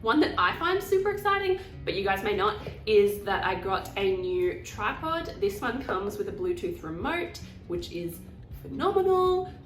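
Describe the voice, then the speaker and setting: A woman's voice: medium pitch, very animated, normal speed, reverberant, distant mic, faint music behind, rough recording. Nasal, chatty woman with a cold. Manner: chatty and rambling, self-deprecating. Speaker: vlogger. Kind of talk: casual haul vlog.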